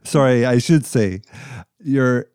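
A man's voice: mid-range voice, slow pace, darkish tone, smooth, dry recording, studio quality. A man hosting a podcast, interviewing a guest with casual, joking questions. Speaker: podcast host.

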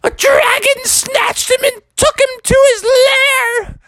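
A man voicing a shrill, melodramatic mother who shrieks her lines. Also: fairly even intonation, slow pace, bright, forceful tone, full character voice. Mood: dramatic. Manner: high-pitched, melodramatic, shrieking. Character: shrill, dramatic animal mom in a storybook.

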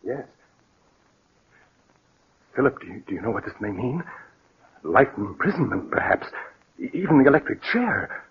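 An actor playing a stern, disapproving brother in a 1940s radio drama, earnest and moralizing. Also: male voice, fairly low pitch, sing-song, moderate pace, long pauses, heavily acted. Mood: shocked, urgent. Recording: muffled, raspy old 1940s radio recording.

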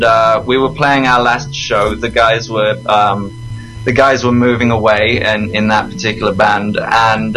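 A man with an English accent from Leicestershire, a guest musician telling stories in a relaxed podcast interview. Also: fairly low pitch, low-quality recording. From England. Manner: chatty, storytelling, self-deprecating.

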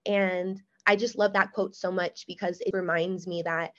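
A woman's voice: on the low side, little pitch movement, moderate pace. A young woman hosting an interview, speaking earnestly and enthusiastically, with "like" as a filler. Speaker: podcast host. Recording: dull lo-fi sound.

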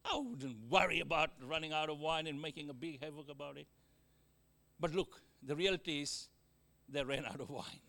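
A man with a Fijian accent preaching a sermon, calling out amens to the congregation, mixing joking asides with exhortation and swinging from loud to quiet. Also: fairly high pitch, normal speed, vocal fry. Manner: exhorting, with frequent amens and call-outs to the congregation.

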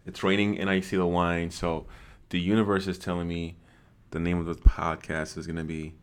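A man's voice: sits low, level tone, normal speed, smooth. A man hosting a podcast, chatting playfully with his guest and laughing easily. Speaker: podcast host. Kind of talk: casual chat.

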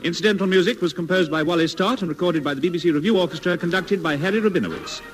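Casting: formal man with an RP accent for 1950s radio credits, high voice over clear music. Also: racing. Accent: British Received Pronunciation. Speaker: radio announcer. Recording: vintage radio recording.

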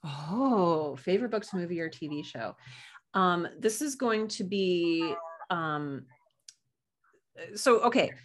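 A woman's voice: on the low side, expressive, slow, long pauses. A woman chatting casually and informally as an interview guest, with emphatic little asides. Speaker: podcast guest, author.

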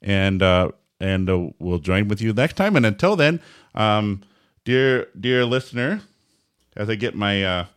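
A man hosting a casual religious podcast, talking in a halting way with many fillers and restarts. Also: lowish voice, lively intonation, clear, resonant tone. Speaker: podcast host.

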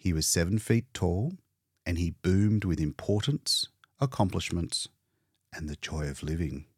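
Low, husky, slow male storyteller. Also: animated, no echo, clean studio sound. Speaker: audiobook narrator. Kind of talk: expressive scripted story narration.

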